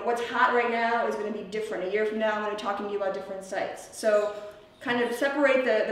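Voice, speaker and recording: A woman giving an informal conference presentation in a conversational way, checking in with her listeners through short tag questions. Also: fairly high pitch. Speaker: presenter at a camp leadership workshop. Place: indoor room, presenting to a live group. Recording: echoey room from far off, faint noise.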